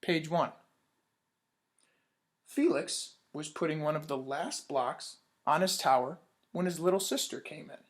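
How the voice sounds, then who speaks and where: A man's voice: on the high side, measured pace, long pauses. A man teaching young children in a read-aloud lesson, upbeat, asking questions and praising answers.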